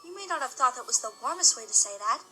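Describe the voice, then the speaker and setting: A woman's voice: sits high, expressive, quick pace, pushed and bright, faint music behind, heavily acted. A woman playing a stern, blunt mother pony who scolds in an audio drama. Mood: firm explaining.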